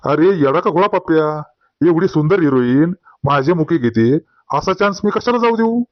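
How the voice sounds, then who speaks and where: Man playing a high-pitched boastful old village man. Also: lively intonation, moderate pace, no room sound, dull audio, lightly acted. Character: boastful old village man, grieving, exasperated, telling tall tales. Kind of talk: comedy cartoon dialogue.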